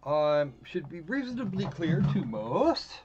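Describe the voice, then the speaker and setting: A man with a high-pitched voice chats casually as a livestream host. His delivery is wry and snarky, with halting phrasing. The sound is dull and lo-fi. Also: sing-song, a crowd in the background. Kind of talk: casual game stream chat.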